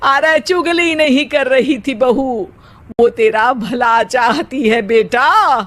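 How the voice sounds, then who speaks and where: A concerned cartoon mother performed by a woman talks to her grown son. She is slow-spoken and admonishing, in a motherly way. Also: sits high, a bit bright, voice pushed up. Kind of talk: cartoon story acting.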